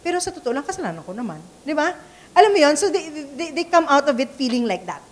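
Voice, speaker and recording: A woman's voice: high-pitched, big pitch swings, very fast, slight background noise. A Filipina woman with an Ilonggo accent giving a conversational training talk, switching between English and Tagalog and repeating words as she thinks. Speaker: church event trainer.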